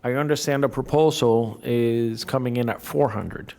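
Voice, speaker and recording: A man speaking as a county commissioner in a public meeting, making his points in a halting way with frequent restarts and fillers, into a desk microphone. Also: mid-range voice, creaky, darkish tone. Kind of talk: formal public meeting remarks.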